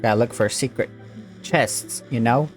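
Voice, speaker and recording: A man's voice: mid-range voice, a little slow, faint music behind. A man giving casual gameplay commentary, muttering his reactions as he plays. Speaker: gaming streamer.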